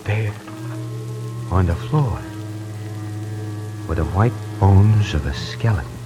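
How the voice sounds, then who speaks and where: A man playing a nervous, talkative tie salesman who narrates his own story in a 1940s radio drama, moving between hushed and frantic. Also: sits low, lively intonation, takes it slowly, music in the background, low-quality recording. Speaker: radio actor narrating in character.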